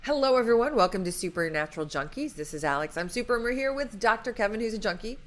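A woman's voice: fairly high pitch, animated, fast talker, fairly bright tone. A woman hosting an interview with a nasal, stuffy voice from a cold, playful and bantering. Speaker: host.

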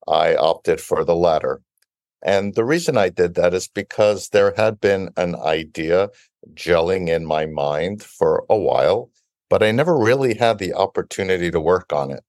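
A middle-aged or older man who grew up in Brooklyn, giving measured, articulate answers as an interview guest. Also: low-pitched, darkish tone, dry recording, clean studio sound. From Italy-born, raised in Brooklyn, New York. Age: 50s or older. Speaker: interview guest, biotech founder and CEO.

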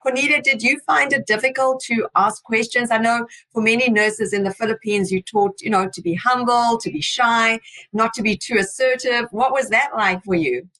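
South African woman host moderating a panel, bright forward voice. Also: on the high side, moderate pace, rough recording.

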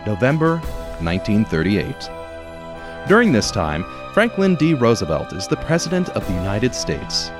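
A man reading scripted narration for a radio drama as its narrator. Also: mid-range voice.

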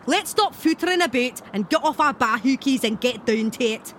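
A high, bright and boisterous ancient Scottish she-monster of the loch, brash and insulting in thick Scots slang. She swings widely in pitch in comic audio-drama fashion, with water sounds behind her. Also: lively intonation, moderate pace, a little hiss or hum. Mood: rambling. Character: ancient, boisterous, foul-mouthed, hard-drinking female Loch Ness monster. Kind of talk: audio fiction comedy acting. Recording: water and boat ambience behind.

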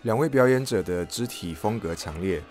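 Guy with a low voice reading out a podcast review, soft music in the back. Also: expressive, normal speed. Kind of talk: first-person scripted review narration.

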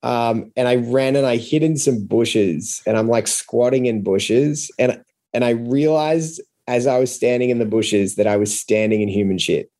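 A man's voice: medium pitch, moderate pace, mellow. An Australian man with an Australian accent, a podcast guest and comedian telling anecdotes in a casual, conversational style.

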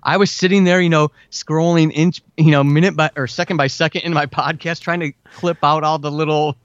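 A man's voice: fairly high pitch, fairly even intonation, brisk, clear, resonant tone, no echo. Man in casual interview, dull dry recording. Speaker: podcast guest, writer and audio drama podcaster. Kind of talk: casual interview talk, giving advice. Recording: dull, lo-fi, dry close sound.

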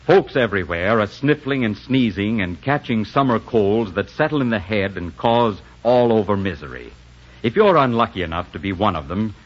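A man's voice: medium pitch, breathy edge. A man announcing a sponsor message in a polished, emphatic 1940s radio commercial style. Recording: muffled 1940s radio recording.

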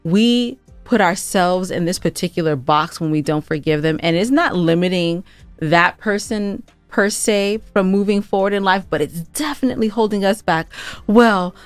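Expressive woman chatting on a podcast, close and dry. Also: mid-range voice, moderate pace, clean tone, no room sound, faint music behind. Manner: expressive, emphatic, conversational. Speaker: podcast co-host and audio drama writer. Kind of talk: casual podcast chat.

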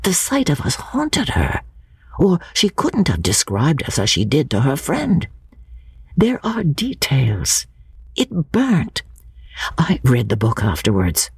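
A woman voicing an earnest, obstinate young man in love in an audiobook, speaking in hushed, fervent phrases. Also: low-pitched, huge pitch swings, slow, breathy edge, heavily acted. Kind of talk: dramatic audiobook character reading.

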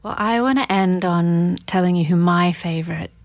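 A woman's voice: lowish voice, normal speed, dry recording. A woman hosting a podcast, chatting casually as she interviews an author.

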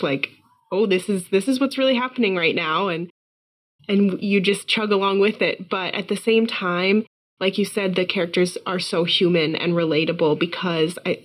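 A woman hosting a book club interview, asking the author questions with enthusiastic praise for the novel. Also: fairly low pitch. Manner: enthusiastic, admiring.

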